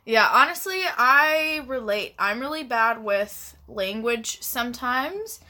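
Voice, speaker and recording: A woman's voice: on the high side, lively intonation, takes it slowly, sharp tone. A woman who grew up in Dubai, co-hosting a casual podcast, wry and self-deprecating, swearing casually. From grew up in Dubai, UAE. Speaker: podcast co-host.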